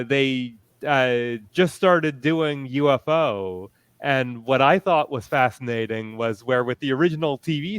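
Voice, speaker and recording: Slow, halting man with a clear voice. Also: medium pitch, fairly bright tone, no echo. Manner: halting, pausing mid-sentence, explanatory. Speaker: convention panelist. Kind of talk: panel discussion of audio dramas.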